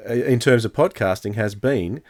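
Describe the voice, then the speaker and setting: A man's voice: mid-range voice, moderate pace, darkish tone. A man giving his considered opinions in a casual podcast discussion. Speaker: podcast host.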